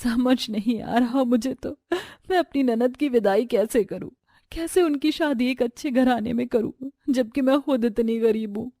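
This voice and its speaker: Woman playing anxious, loving poor sister-in-law for family drama; plaintive, tender, higher register. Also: moderate pace, warm tone, dry recording, studio quality. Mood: tearful worry.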